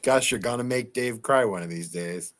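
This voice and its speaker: A man's voice: medium pitch, moderate pace, fairly bright tone. A man from Boston with a Boston accent, bantering and teasing in a casual livestream chat.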